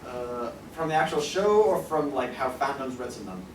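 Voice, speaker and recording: A man's voice: mid-range voice, lively intonation, moderate pace. A man speaking informally on a convention panel, thinking aloud with hesitations and repeated phrases as he answers questions. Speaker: convention panelist from an audio drama group. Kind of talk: informal panel talk and audience Q&A. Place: convention panel room, indoors. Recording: roomy, distant microphone, noisy convention room.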